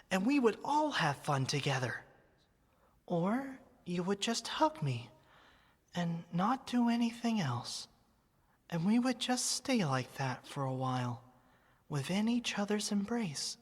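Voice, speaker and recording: A woman playing an affectionate, wistful teddy bear come to life in an ASMR roleplay, speaking gently and expressively to an old friend. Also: fairly low pitch, in a large hall, heavily acted. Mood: fond, nostalgic.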